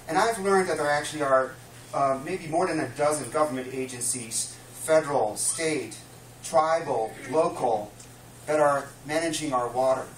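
Dutch guy in his 50s giving a talk, kinda high voice, picked up from across an echoey room. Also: a bit bright. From Netherlands. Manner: earnest and explanatory, frequent uh fillers. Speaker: guest speaker. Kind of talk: public lecture. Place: small dim room with a projection screen, live audience. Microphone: distant camera mic. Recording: roomy, distant camera mic, faint room noise.

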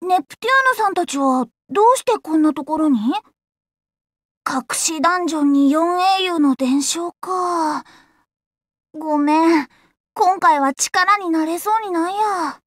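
A woman's voice: very high voice, measured pace, fairly bright tone, no echo, clean studio sound. A woman voicing a plucky, earnest, friendly young fox-eared girl adventurer in a Japanese anime game, with boyish, frank, upbeat phrasing. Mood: earnest, helpful. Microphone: close, dry studio recording.

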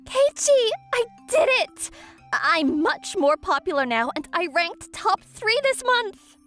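Woman voicing a high-pitched, bashful anime schoolgirl. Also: sing-song, fairly bright tone, full character voice. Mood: delighted. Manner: shy, halting, tsundere-like. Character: teenage schoolgirl maid, bashful yet feisty, secretly sweet. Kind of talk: manga dub romcom acting. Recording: music behind the voice.

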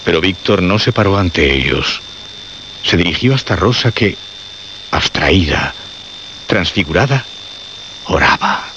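An actor playing the solemn male narrator of a radio horror tale, speaking in grave, measured, dramatic phrases. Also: low-pitched, animated, background noise. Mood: suspenseful. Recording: muffled radio recording over clear music.

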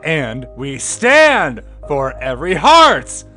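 A man's voice: on the high side, wildly swooping pitch, slow, exaggerated voice. Man putting on a wildly swooping cartoon skunk hero. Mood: defiant, shrieking. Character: plucky skunk heroine, shrieking magical-girl sidekick. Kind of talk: amateur comic dub. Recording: loud music over voice.

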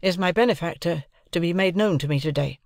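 A man voicing a polite, hesitant young gentleman in an audiobook, careful and deferential. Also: on the high side, a little fast, no echo, clean studio sound, voice pushed up, lightly acted. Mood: polite, tentative. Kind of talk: audiobook character dialogue.

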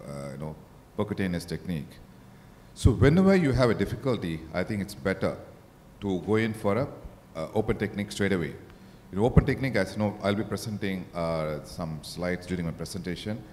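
A middle-aged man, a vascular surgeon, answering on a conference panel, deliberate with many fillers. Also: low-pitched. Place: large conference hall, seated on stage. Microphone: handheld microphone. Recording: reverberant hall with faint noise.

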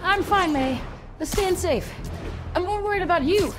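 A woman's voice: extremely high pitch, a little slow. A woman playing a young, determined, wisecracking superhero in a video game. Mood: caring. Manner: wisecracking, earnest. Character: young, quippy, determined masked city superhero. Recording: loud music over the voice. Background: loud game music.

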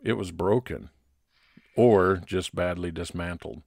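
A private investigator played by a man in an old-time radio detective story. His voice is low and measured, with a wry, matter-of-fact delivery and no room sound. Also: gravelly, a little mellow, dry recording, exaggerated voice. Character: Billy, male private investigator, observant and wry.

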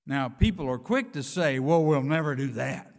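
A man's voice: mid-range voice, lively intonation, moderate pace. A man in his sixties preaching an earnest, emphatic sermon from a church pulpit, reading scripture aloud. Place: church sanctuary, speaking from a pulpit. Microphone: pulpit microphone. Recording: church hall reverb.

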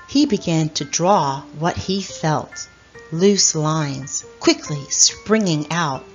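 A middle-aged woman reading a children's picture book aloud as host, in a deliberate, expressive storyteller manner. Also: fairly low pitch, lo-fi sound.

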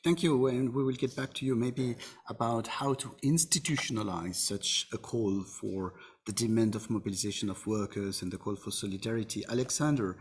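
A man moderating an online panel discussion, hesitant and filling pauses often. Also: fairly low pitch, husky. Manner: hesitant, many fillers.

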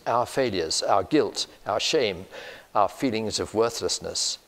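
An older man with a British accent preaching a measured, earnest sermon, recorded in a church on a lapel mic. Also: lowish voice. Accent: British English. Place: church sanctuary, indoors. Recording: long church reverb.

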